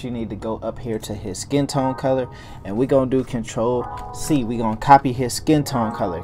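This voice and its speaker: A young man at a home desk talks through an animation tutorial in loose, slangy chatter. His pitch sits in the middle, and he drops to a relaxed mumble while he works. Music plays clearly under him. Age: young adult. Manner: hyped barber persona at the start, then relaxed mumbling while working. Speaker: cartoon animator and channel host. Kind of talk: casual tutorial vlog with slang.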